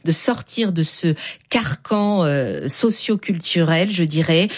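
A middle-aged woman with a Persian accent, a dancer speaking thoughtfully in an interview and reading poetry, often pausing with hesitations. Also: on the low side, lively intonation. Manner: slow, thoughtful, many hesitations. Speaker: dancer and whirling dervish guest.

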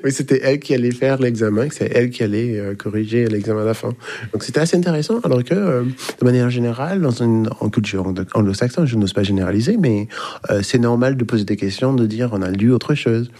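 Mellow Caribbean-born man, native English speaker. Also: medium pitch, quick pace. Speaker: university linguistics lecturer, interviewee. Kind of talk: recounting a personal anecdote.

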